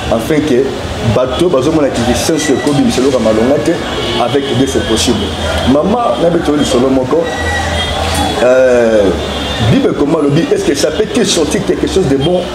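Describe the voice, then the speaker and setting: A man's voice: medium pitch, a little fast. A man with a Congolese accent, a prophet and pastor, answering interview questions in a preacherly way that builds into prophecy. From Congo-Kinshasa. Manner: preacherly, prophesying with rising emphasis. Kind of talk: interview answers mixing French and Lingala. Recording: echoey and noisy with traffic behind.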